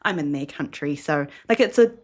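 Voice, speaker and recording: A woman's voice: sits low, animated, moderate pace. A woman from New Zealand with a New Zealand accent, co-hosting a podcast in easygoing, reflective casual chat. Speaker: podcast co-host.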